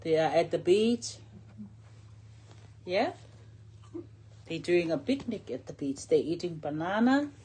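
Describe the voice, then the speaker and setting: A woman's voice: lowish voice, expressive, slow, long pauses, mellow, slightly rough, low-quality recording. A middle-aged woman reading a picture book aloud to a young child in simple short phrases, drawing out key words and pointing things out with questions.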